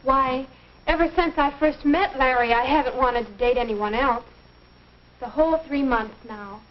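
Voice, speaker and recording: A lovestruck, naive girl of eighteen, played by an actress speaks in a high, earnest, girlish voice. The style is 1950s instructional film drama, heard through a dull, dated soundtrack. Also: moderate pace, lightly acted. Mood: naive, sure. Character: naive, lovestruck eighteen-year-old girl eager to marry.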